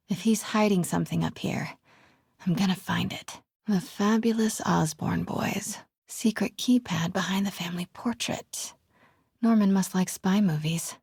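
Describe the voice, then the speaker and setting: A woman playing a determined young reporter in a video game, breathy and often hushed, with a wry way of talking to herself. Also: fairly low pitch, dry recording, studio quality. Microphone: close, dry studio mic.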